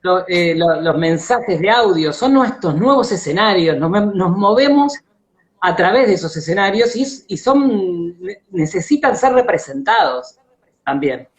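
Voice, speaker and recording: A man's voice: sits high, sing-song, unhurried. A man with an Argentine accent hosting a live online conversation, speaking earnestly and reflectively. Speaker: interviewer and host. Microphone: online video call.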